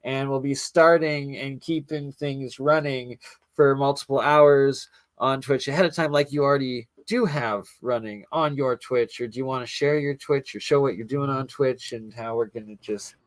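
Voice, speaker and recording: A man's voice: medium pitch, steady tone, moderate pace, no echo. Rambling man in his late thirties hosting. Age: 30s. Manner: rambling, filler-heavy. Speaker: host. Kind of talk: casual livestream chat.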